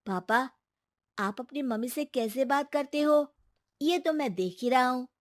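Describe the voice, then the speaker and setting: Woman voicing a high-pitched, earnest young boy. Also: unhurried. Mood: defiant. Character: young grandson, earnest, stubborn, devoted to his grandmother. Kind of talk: scripted animated moral story dialogue.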